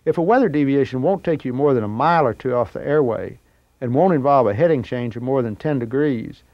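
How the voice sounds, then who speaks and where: A man's voice: mid-range voice, expressive, brisk. A man reading scripted instructional narration for pilots in a plain-spoken, explanatory manner. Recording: muffled, noisy old training tape.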